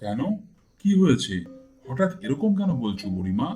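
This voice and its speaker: Lively, lordly village landlord, played by a man. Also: medium pitch, normal speed, faint music behind, lightly acted. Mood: genial. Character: genial, authoritative village landlord. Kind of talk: cartoon folk tale acting.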